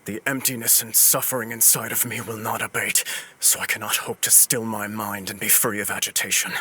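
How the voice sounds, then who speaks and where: A man's voice: fairly low pitch, flat delivery, sharp tone, a little hiss or hum. A man playing a remorseful middle-aged sword master and teacher in a video game, speaking formally and earnestly. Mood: humbled resolve. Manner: earnest, formal, halting when distressed. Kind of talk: dramatic video game acting.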